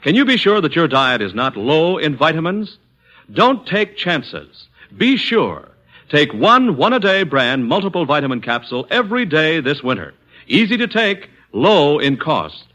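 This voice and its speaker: A man's voice: on the high side, big pitch swings, measured pace, sharp tone, muffled recording. An American man reading scripted 1940s radio advertising as the announcer, with an emphatic, persuasive sales delivery.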